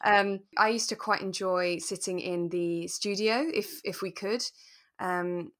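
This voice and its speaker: A British woman co-hosting a relaxed chat about radio drama, expressive and enthusiastic in her delivery. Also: mid-range voice, measured pace. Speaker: podcast co-host and actor.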